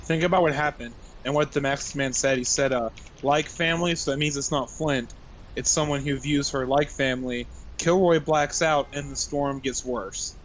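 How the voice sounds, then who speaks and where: A man's voice: mid-range voice, moderate pace, slight background noise, rough recording. A man playing in a tabletop roleplaying game, chatting casually at the table.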